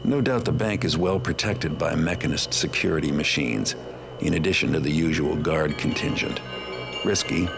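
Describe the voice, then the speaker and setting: An actor playing a cynical, sly master thief, narrating his plans in a dry, sardonic game voice-over with a gravelly voice. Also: rumbling low, normal speed. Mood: calm, scheming. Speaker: narrator of mission briefings. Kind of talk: scripted noir-style game narration. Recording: music under the voice.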